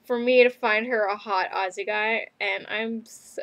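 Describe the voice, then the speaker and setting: A woman's voice: medium pitch, fairly even intonation, unhurried, a bit bright, no echo. A young woman from Canada chatting casually in a video diary, rambling with lots of ums and little laughs. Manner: rambling, many fillers and laughs. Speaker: vlogger.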